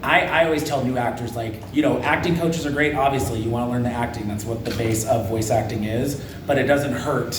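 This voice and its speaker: A voice actor, a man, gives earnest advice at a convention panel. He is heard from a distance in a roomy space, with a steady noise bed behind him. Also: medium pitch, fairly quick, hardly any pauses. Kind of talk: panel interview answer. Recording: echoey room, from afar, noisy.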